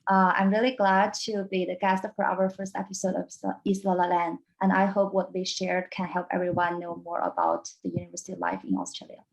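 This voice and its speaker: A woman's voice: on the low side, expressive, brisk, few pauses, rough recording. A young female student from China, a guest speaking earnestly and carefully on a video call. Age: young adult.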